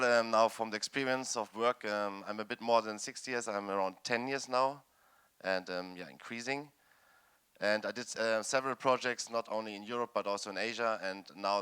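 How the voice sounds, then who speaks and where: A man in his thirties from Germany with a German accent, giving a presentation to an audience. He speaks steadily and warmly, with frequent "um" fillers, as a non-native English speaker. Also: lowish voice, clean tone. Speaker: student speaker.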